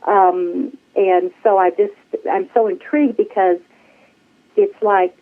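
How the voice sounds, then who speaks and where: A woman's voice: mid-range voice, steady tone, slow. A woman in her sixties chatting casually as an interview guest, effusive and rambling, over a telephone. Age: 60s. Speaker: podcast guest, flight attendant. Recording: tinny phone-line sound.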